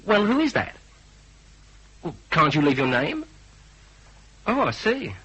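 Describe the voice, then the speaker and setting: Noisy old radio recording, chirpy young British assistant, lively swooping pitch, radio drama. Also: male voice, takes it slowly, lo-fi sound, exaggerated voice. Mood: polite, puzzled. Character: cheerful, boyish young male detective's assistant. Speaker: actor in detective drama.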